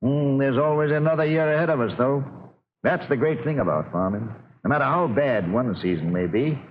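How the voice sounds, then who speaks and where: A man's voice: mid-range voice, brisk, lightly acted. An actor playing a steadfast, hopeful middle-aged American farmer father in an earnest, folksy old radio drama style. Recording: scratchy, tinny old radio broadcast.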